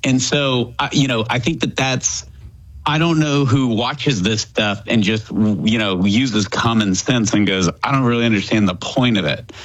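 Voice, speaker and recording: A man in his thirties, a guest on a talk radio show, speaking in an earnest, animated way and laughing easily. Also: mid-range voice, a little slow, rough recording.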